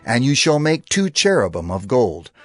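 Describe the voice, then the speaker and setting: Male narrator, mid-pitched, expressive swings, solemn, deliberate, faint music behind. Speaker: scripture narrator. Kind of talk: dramatized scripture narration.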